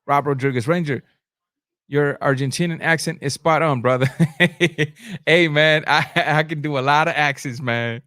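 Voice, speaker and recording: A man with a slightly high voice commentates on boxing as a live stream host. He is blunt and informal, leaning on slang and hammering key phrases by repeating them. The recording is very dry, with no room echo. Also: normal speed, pushed and bright. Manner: emphatic, repeats phrases for effect. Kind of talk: live sports commentary, answering chat comments.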